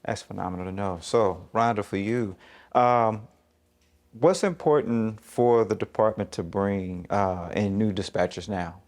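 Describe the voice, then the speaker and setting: A man's voice: fairly low pitch, a little slow, darkish tone. A man hosting a local TV interview, talking informally with his guests and giving short affirmations. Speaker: show host interviewer.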